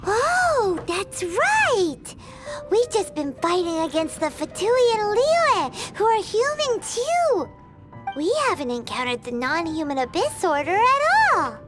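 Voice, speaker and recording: A woman voicing a tiny, childlike fairy companion in a video game, squeaky, naive and boastful, given to exclaiming. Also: big pitch swings, slow pace, heavily acted. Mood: surprised, curious. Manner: squeaky, childish, exclaiming, refers to self in third person. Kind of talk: animated video game dialogue. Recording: loud game music behind.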